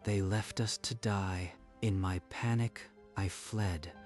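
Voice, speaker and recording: A man playing a resigned army deserter seeking redemption in an anime-style dub, speaking gravely and solemnly. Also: low-pitched, steady tone, slow, a little mellow, faint music behind. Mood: solemn confession.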